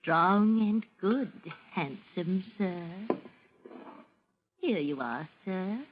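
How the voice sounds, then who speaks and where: A woman's voice: lowish voice, lively intonation, drawn-out words, narrow, tinny audio. An actress playing a gaunt, eerie serving woman, coaxing and sinister, in vintage radio horror style. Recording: thin, noisy old radio recording.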